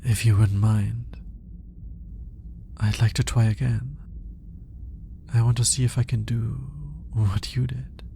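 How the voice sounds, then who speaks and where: A man acting as an awkward, lovestruck male alien scientist in an ASMR roleplay. He talks slowly and hesitantly in a soft, dark voice with a gravelly edge, often dropping to a whisper. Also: medium pitch, flat delivery, a little hiss or hum. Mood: awed, flustered. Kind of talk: intimate roleplay ASMR audio drama.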